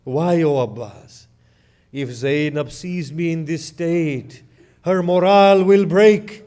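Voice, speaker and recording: A man doing a noble warrior mourning his brother in a recited tragedy, his voice high and slow, his cries anguished and pleading. He drags each word out heavily, half sobbing as he speaks. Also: mellow. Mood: hushed grief. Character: grieving noble male warrior, elder brother.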